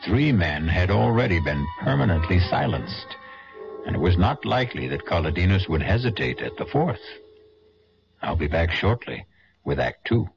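Very deep-voiced radio narrator, a man. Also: very animated, moderate pace, gravelly. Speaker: radio drama host and narrator. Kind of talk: scripted dramatic narration. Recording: dull 1970s radio recording. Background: prominent music.

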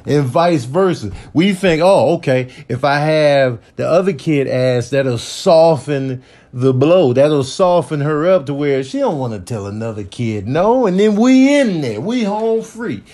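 A man's voice: on the high side, lively intonation, a little slow, dark tone. A man giving casual, slangy comedic commentary as a parent, telling stories with mock indignation.

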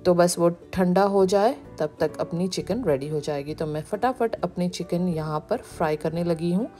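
Lowish-voiced woman narrating a home recipe. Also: moderate pace. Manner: relaxed step-by-step instruction. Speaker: cooking channel host. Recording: background music.

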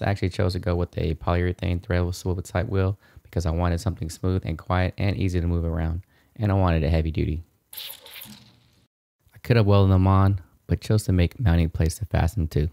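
A man in his thirties narrates a DIY how-to casually. His voice is very deep and mellow, and the sound is dry and close. He explains things in an informal way. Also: steady tone, fairly quick, vocal fry, no room sound. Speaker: DIY video host.

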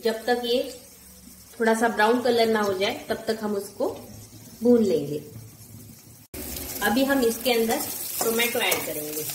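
A woman in her forties narrating a home cooking recipe step by step, calmly listing ingredients one at a time. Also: fairly high pitch, unhurried, long pauses. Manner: calm, lists ingredients one by one. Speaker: cooking channel host. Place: home kitchen, echoey. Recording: echoey room with faint kitchen noise.

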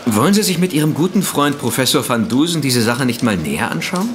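An actor playing a thoughtful, collegial newspaperman in a German audio drama, with a formal, deliberate manner. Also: medium pitch, sing-song, slight background noise, close to an everyday voice. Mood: wry, collegial.

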